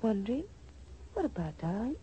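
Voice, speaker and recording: An actress playing a devoted, trusting young grown daughter in a 1940s radio soap, earnest and affectionate. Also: female voice, on the high side, big pitch swings, moderate pace, dark tone, slightly rough, heavily acted. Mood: gently probing.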